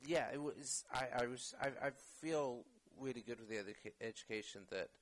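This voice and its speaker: A man's voice: mid-range voice, fairly quick. Chatty man with lots of restarts. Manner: talkative, many fillers and restarts. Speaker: actor, podcast guest. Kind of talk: casual interview chat.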